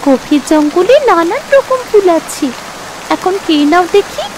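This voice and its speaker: A woman's voice: very high-pitched, expressive, measured pace, slight background noise, rough recording. A woman voicing a caring, hopeful young daughter in a cartoon moral story, earnest and soothing. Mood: reassuring.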